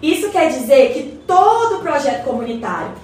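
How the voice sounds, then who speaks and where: A woman's voice: high-pitched, sing-song, moderate pace, darkish tone. A Brazilian woman playing a well-informed, encouraging neighbour who patiently explains things in colloquial radio drama dialogue. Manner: explanatory, colloquial, addresses her neighbour respectfully. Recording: roomy echo, distant mic, faint noise.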